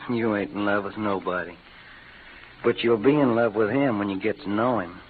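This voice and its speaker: Actor as a low-voiced, drawling Western cowboy outlaw. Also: male voice, moderate pace, natural voice. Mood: gruff, self-mocking. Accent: American Western drawl. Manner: folksy, slangy cowboy talk. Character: rough-talking cowboy playing the bad outlaw for love's sake. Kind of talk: 1940s radio western acting. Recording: old noisy radio recording, phone-like band.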